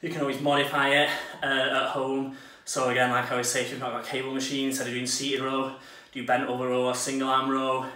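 A man's voice: mid-range voice, completely flat delivery, quick pace, sharp tone. A young man giving casual, offhand workout advice with fillers, recorded in a small home gym. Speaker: fitness vlogger. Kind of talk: casual workout talk-through. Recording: echoey room, voice from far off.

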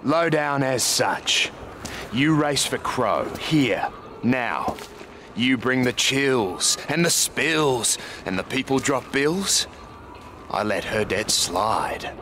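Wasteland hustler-preacher, video game, medium pitch, unhurried, florid, theatrical, background noise. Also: male voice, animated. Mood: sly, commanding. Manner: florid, rhyming, preacher-like oratory. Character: grandiloquent wasteland race master, sly theatrical hustler-preacher. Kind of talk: theatrical game character acting.

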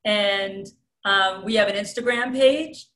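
A woman's voice: mid-range voice, slow, a bit bright. A middle-aged woman from New York with a thick New York accent, a strength coach talking bluntly as a guest over a webcam call from a room at home. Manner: blunt, self-described alpha female. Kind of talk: casual interview talk. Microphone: webcam on video call. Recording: roomy video-call echo.

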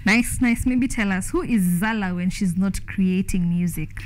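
A woman's voice: mid-range voice, normal speed, rough recording. A young woman with a Kenyan accent hosting a casual interview in a TV studio. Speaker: TV host, interviewer.

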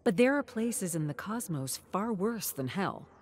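A woman voicing an earnest female storyteller who addresses her audience in a theatrical, probing, deliberate way. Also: medium pitch, animated, a little mellow, slight background noise, lightly acted. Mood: intense, probing.

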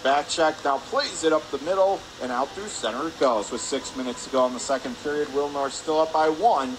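A man with a fairly high voice calls hockey play-by-play in a radio style. His phrases are clipped and urgent as he follows the puck. Clear ice rink noise runs behind him. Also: brisk. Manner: clipped, urgent phrases while calling action. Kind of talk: live radio sports play-by-play commentary. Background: ice rink ambience.

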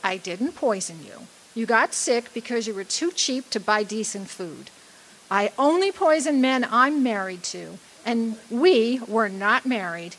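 A woman's voice: on the high side, lively intonation, measured pace, a bit bright, full character voice, rough recording. An actress playing a brash, wisecracking woman outlaw in a radio play. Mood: sassy, defensive. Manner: blunt, wisecracking. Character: brash, sassy, hard-drinking dead woman outlaw. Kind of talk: stage radio drama acting.

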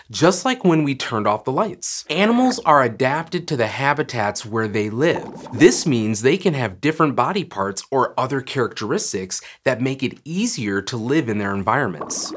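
A man in his thirties teaches young viewers in a cheerful, encouraging way, his pitch bouncing up and down with animated expression. His voice has a soft, slightly husky breathiness. He talks straight to the camera from a studio set. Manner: bright, encouraging, talks to an unseen puppet sidekick. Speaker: children's science show host. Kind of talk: scripted kids' science lesson to camera.

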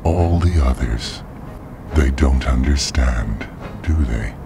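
A man in an audio drama playing a hushed, manipulative inner voice that coaxes and commands deliberately. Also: a bass voice, creaky, over music, full character voice. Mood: confiding. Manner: deliberate, intimate, urging.

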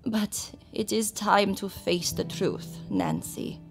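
A woman as a creaky, solemn old servant aunt. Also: medium pitch, fairly even intonation, faint music behind. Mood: sorrowful. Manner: formal, measured storytelling. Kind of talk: gothic audio drama acting.

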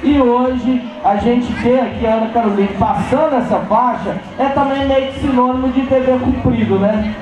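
A man announcing a beauty pageant winner from a stage, shouting in a sing-song way. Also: extremely high pitch, moderate pace, lo-fi sound. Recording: roomy PA sound with music.

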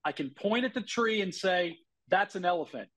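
A man's voice: high-pitched, lively intonation, moderate pace, fairly bright tone. A man giving emphatic commentary as a legal analyst over a video call. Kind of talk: interview commentary. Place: indoor room.

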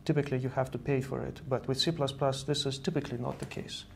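A man's voice: medium pitch, flat delivery, fast talker, gravelly, slight background noise. A man in his thirties from Estonia, speaking as an earnest guest in a studio interview, often repeating words as he explains. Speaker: guest, software engineer. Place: virtual news-style studio set.

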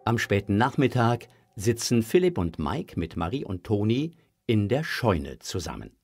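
A man narrating a children's audio drama in an expressive, scripted storytelling style. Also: lowish voice, a little slow.

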